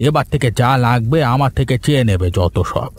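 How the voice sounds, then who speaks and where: A man's voice: mid-range voice, lively intonation, a little fast, darkish tone, a little hiss or hum, close to an everyday voice. A man playing a stingy old grandfather in a Bengali cartoon, scolding and bossy as he grumbles at his household. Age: older. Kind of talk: Bengali moral-story cartoon dubbing. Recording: faint music behind the voice.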